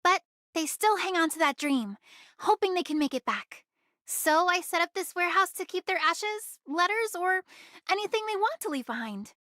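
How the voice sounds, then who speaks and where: A woman's voice: extremely high pitch, expressive, normal speed, sharp tone, no echo, clean studio sound, full character voice. A woman voicing a feisty, proud but caring young female smuggler in an anime video game, brash and theatrical. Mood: earnest, wistful.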